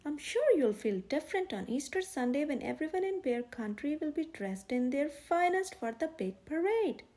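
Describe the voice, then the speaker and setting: A cheerful papa bear from a picture book, voiced by a woman, hearty and reassuring. The delivery is animated and high, with a soft mellow tone. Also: moderate pace. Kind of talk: children's storybook character voice.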